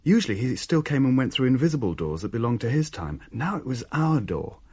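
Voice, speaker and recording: An actor playing a British man recounting his family's haunting in a radio play, measured, wry and uneasy. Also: mid-range voice, very animated, darkish tone, slightly rough. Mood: uneasy, intent. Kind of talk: dramatised first-person narration.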